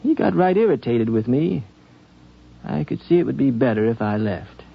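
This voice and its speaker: An actor playing a gentle, earnest frontier wanderer in a 1950s radio drama, musing and folksy, with halting phrasing. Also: male voice, medium pitch, lively intonation, vocal fry, slightly rough. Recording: dull 1950s radio broadcast.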